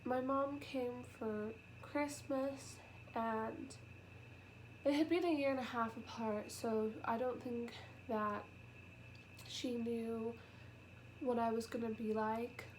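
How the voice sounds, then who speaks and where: A young woman with a cold, sniffly and nasal, talking softly and haltingly in a personal vlog, close to tears. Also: on the high side, steady tone, a little hiss or hum, poor audio. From United Kingdom. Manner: halting and soft-spoken, with many pauses and fillers. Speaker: vlogger. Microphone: camera.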